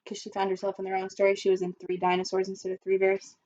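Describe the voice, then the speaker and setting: A woman's voice: mid-range voice, monotone, quick pace, darkish tone, lo-fi sound. A woman primary school teacher speaking expressively and instructively to young children in simple phrasing, as in a read-aloud lesson.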